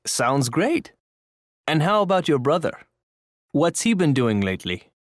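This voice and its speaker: An interested acquaintance asks questions in a scripted exercise. Her voice is extremely low for a woman, with lively intonation. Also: a little slow, dry recording, studio quality. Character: interested woman asking after a family. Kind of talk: scripted English listening-exercise dialogue.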